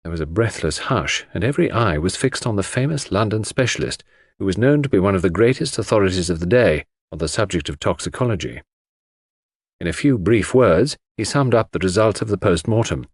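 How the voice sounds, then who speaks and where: A man's voice: fairly low pitch, breathy edge, dry recording, studio quality. A man reading a classic mystery audiobook as a scripted dramatic narration.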